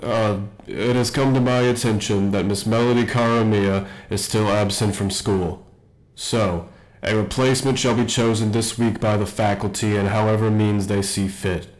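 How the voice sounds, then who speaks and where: A man playing an academy principal who makes formal, measured announcements in an audio roleplay. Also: lowish voice, steady tone, darkish tone, close to an everyday voice, poor audio. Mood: formal, official.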